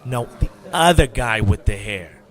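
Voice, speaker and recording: A man playing a fussy, bossy photographer in an audio drama, giving halting instructions. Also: mid-range voice, lively intonation, natural voice. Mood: fussy, directing. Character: fussy, bossy male photographer directing a group.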